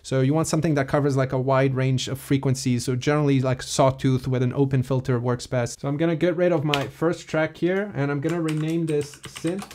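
A man giving a casual, explanatory software walkthrough as a music production tutorial presenter. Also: mid-range voice, steady tone, brisk, hardly any pauses.

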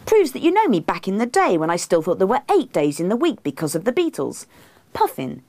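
A woman's voice: medium pitch, wildly swooping pitch, brisk, a little mellow, dry recording, voice pushed up. A woman voicing a needy, insistent wife, pleading and indignant, in a comic book reading. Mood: coaxing.

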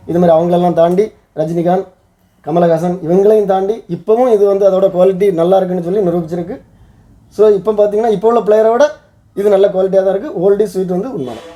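A man with an Indian Tamil accent presents as a vlog host, explaining as he goes. His voice is very mellow and slightly high, and he speaks quickly. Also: steady tone.

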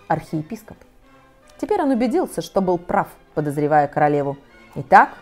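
A middle-aged woman reading a fairy tale aloud to children in a cozy studio, dramatic with wide swings in pitch and loudness. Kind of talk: expressive storybook reading for children. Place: cozy indoor studio set with armchair and fireplace.

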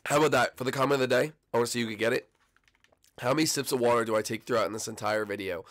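A young man hosting a gaming channel, talking casually with a hoarse, froggy voice and lots of rambling fillers. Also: medium pitch, rapid-fire, fairly bright tone. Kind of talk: casual video commentary.

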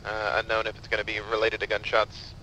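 A man's voice: on the low side, completely flat delivery, fast, bright, forceful tone, a little hiss or hum, rough recording. A man giving terse, procedural police radio reports as an air unit in game role-play.

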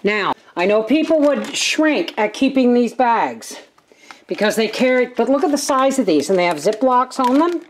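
A woman's voice: fairly high pitch, big pitch swings, moderate pace. An older woman, giving a casual, plain-spoken, folksy vlog narration in her home kitchen. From Canada. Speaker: homesteading vlogger and host.

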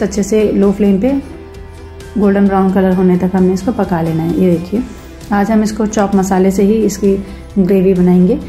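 A woman narrates her home cooking video step by step, switching easily between plain talk and English kitchen words. Her voice is mellow and keeps to a narrow, even melody. Light music runs faintly underneath. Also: medium pitch. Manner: step-by-step instructive, mixing English cooking terms into Hindi. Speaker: cooking channel host. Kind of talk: casual recipe voice-over.

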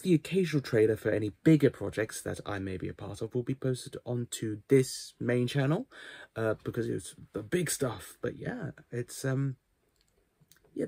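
A man's voice: mid-range voice, gravelly, a little mellow, breathy edge, no echo. A man in his twenties giving a casual channel update to camera, with hesitant, self-deprecating asides. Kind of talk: casual vlog talk to camera. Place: small cluttered bedroom, indoors.

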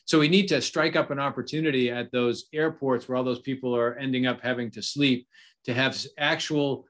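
A middle-aged man joins a panel discussion by video call from his home office. He speaks in an ordinary mid-range voice, thoughtful and unhurried. He laughs lightly and repeats "yes" as he agrees. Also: mid-range voice, fairly even intonation, a bit bright. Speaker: panel guest. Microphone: video call webcam.

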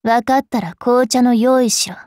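Woman doing a bratty anime game fairy witch girl, high pitched, slow haughty taunts, rough mouth, really dry close sound. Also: a little mellow, dry recording, close to an everyday voice. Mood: aloof, prickly. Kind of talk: Japanese anime game character voice lines.

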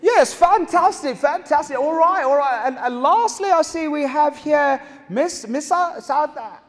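A South African man in his thirties doing stand-up comedy on a large theatre stage with a handheld mic, animated and acting out characters. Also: very high-pitched, a little slow. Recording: live hall with audience.

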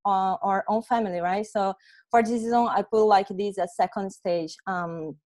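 A woman's voice: medium pitch, fairly even intonation, moderate pace, darkish tone. A young Brazilian woman with a Brazilian accent giving an informal online presentation in a conversational, hesitant way over a headset. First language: Portuguese. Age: young adult. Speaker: presenter, software testing specialist. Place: small room at home, indoors. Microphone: earphone headset on video call.

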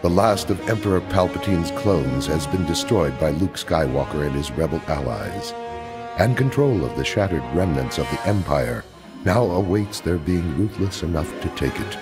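A man performing grave, ominous, dramatic narration for a space opera audio drama in a deep voice. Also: animated, moderate pace, over loud music.

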